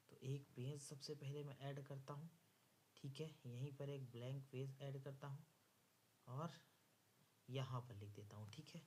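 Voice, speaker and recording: Slow-paced Indian male teacher dictating. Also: mid-range voice, a little mellow, a little hiss or hum. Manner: instructive, repeats phrases word by word while writing. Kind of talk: dictated step-by-step exam lesson.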